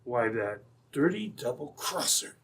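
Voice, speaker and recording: A man's voice: medium pitch, very animated, measured pace, creaky, fairly bright tone, breathy edge, full character voice. A man playing a menacing, impatient gold robber in an old-time radio adventure, with a bossy, threatening way of talking. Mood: angry.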